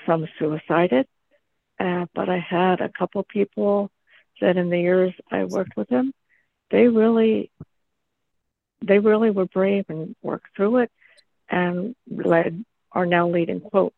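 A woman in a book group discussion with a gravelly voice, telling her story in a steady, earnest way. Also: lowish voice, no echo, narrow, tinny audio.

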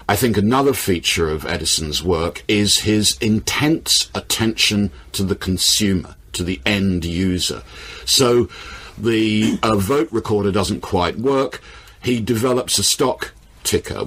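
Male expert guest, low and slightly rough voice, articulate, emphatic explanatory delivery. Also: fairly bright tone. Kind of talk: explanatory discussion.

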